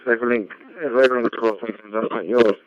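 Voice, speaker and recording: Flat-toned, halting man on ham radio. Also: medium pitch, slight background noise. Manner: halting, repeats words. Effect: digital ham radio transmission. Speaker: amateur radio operator. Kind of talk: casual radio contact chat, technical. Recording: dull, band-limited amateur radio audio.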